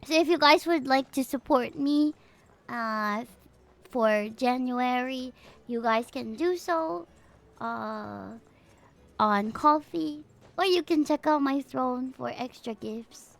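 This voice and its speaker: A woman's voice: high voice, slow, gravelly. A young adult woman chatting casually to viewers on a livestream in a chatty, rambling way. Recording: music playing behind. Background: background music.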